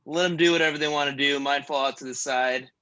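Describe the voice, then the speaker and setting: A man in his thirties leading a stretch class, joking between calm breathing cues. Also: a little high, fast talker, dull audio. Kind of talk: guided stretching class with casual chat.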